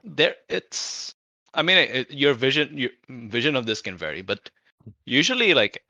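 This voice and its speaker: A man in a casual group discussion, pitching ideas as a tabletop roleplay player. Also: very animated, unhurried, vocal fry, bright, forceful tone, no room sound. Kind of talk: casual group worldbuilding chat.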